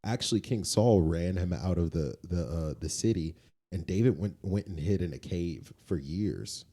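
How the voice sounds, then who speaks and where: A man with a deep, mellow voice co-hosts a conversational podcast. He explains his opinions firmly and often tags his points with a quick check for agreement. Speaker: podcast co-host.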